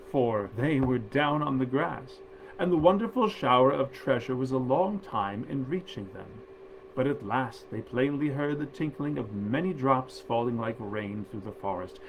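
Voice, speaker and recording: A man storyteller reading a literary tale aloud in a measured, theatrical and genteel manner. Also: mid-range voice, gravelly, background noise.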